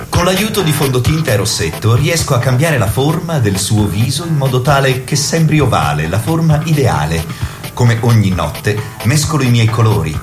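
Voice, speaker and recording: A man playing an obsessive, perfectionist beautician, speaking in a measured, intense theatrical way. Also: medium pitch, natural voice. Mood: reverent, troubled. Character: obsessive male beautician, precise, adoring, perfectionist. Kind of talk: theatrical literary dialogue.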